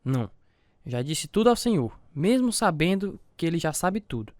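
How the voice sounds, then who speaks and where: A man's voice: on the high side, sing-song, moderate pace, darkish tone, no room sound. A man playing a devout, trusting preacher who tells his life story in an earnest radio drama. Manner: earnest, pious. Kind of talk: dramatized radio testimony with narration and dialogue.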